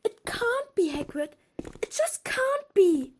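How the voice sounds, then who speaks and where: Woman acting an earnest, very high-pitched schoolgirl. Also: very animated, takes it slowly, a little hiss or hum, exaggerated voice. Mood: insistent, worried. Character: earnest, quick-thinking schoolgirl. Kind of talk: fan audio drama acting.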